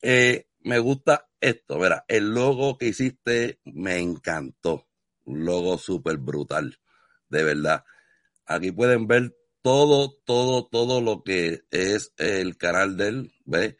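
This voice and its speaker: Man, Puerto Rican accent, very low voice, enthusiastic interviewer, effusive, dry recording. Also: lively intonation, takes it slowly, fairly bright tone, no room sound. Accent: Puerto Rican Spanish. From Puerto Rico. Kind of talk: casual video interview.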